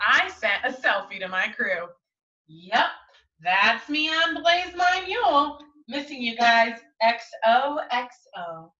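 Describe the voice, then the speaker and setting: Woman, schoolteacher storytelling, very lively intonation, unhurried, coaxing, roomy muffled recording. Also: high-pitched. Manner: expressive, coaxing, asks frequent tag questions. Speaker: schoolteacher reading to young pupils. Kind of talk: animated picture-book storytelling for young children. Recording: roomy echo, distant mic, muffled audio.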